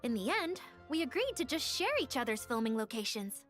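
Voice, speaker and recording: A perky young actress character in a video game. She sounds theatrical and confident, with a high, very animated voice over faint music. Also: brisk. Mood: upbeat.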